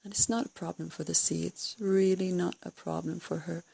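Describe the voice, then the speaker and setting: A woman leading a guided meditation, giving calm, unscripted instructions in a soothing, breathy way with gentle pauses. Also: fairly low pitch, no room sound. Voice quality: breathy, husky, creaky.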